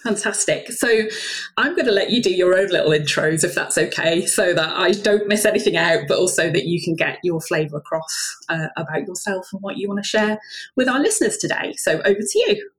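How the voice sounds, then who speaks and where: A woman's voice: on the low side, lively intonation, fairly quick. A woman hosting a podcast interview, enthusiastic and full of praise for her guests. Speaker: podcast host.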